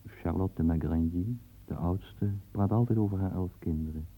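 Man narrating a radio play, low and mellow, measured delivery. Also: low-quality recording.

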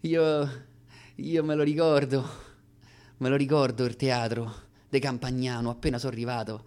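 A man's voice: mid-range voice, level tone, moderate pace, a little mellow, smooth, lightly acted. An actor playing a young aspiring comic from Rome, telling his story with a Roman accent in a dramatic, self-mocking radio monologue. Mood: wistful, halting.

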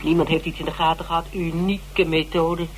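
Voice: medium pitch, level tone, normal speed, lightly acted. An actor in a Dutch radio drama playing an impatient investigator, dry, brusque and sardonic. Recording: noisy, humming old radio recording.

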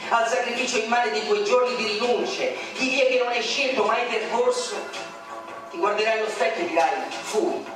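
A man with a high voice declaims poetry on stage with bitter indignation. He stands far from the mic in a cavernous, heavily echoing space, with music running underneath. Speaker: poetry reader. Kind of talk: theatrical poetry recitation. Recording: very echoey stage recording from far off, music behind.

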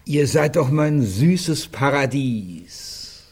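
A man's voice: medium pitch, lively intonation, very slow, a little mellow, breathy edge, exaggerated voice. An actor playing a genial, sweet-toothed, slightly affected artistic man in a radio play, with an ingratiating manner. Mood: genial, indulgent. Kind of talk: naturalistic radio play acting.

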